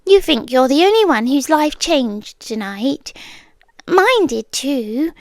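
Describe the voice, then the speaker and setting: Brief: a British woman as a lovesick kidnapper, high and unhurried, murmuring into a dry close mic. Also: expressive, no room sound, exaggerated voice. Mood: calm obsessive. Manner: soft-spoken, often slipping into whispers. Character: obsessive romantic young woman kidnapper, calmly sincere. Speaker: ASMR roleplay creator. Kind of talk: ASMR roleplay.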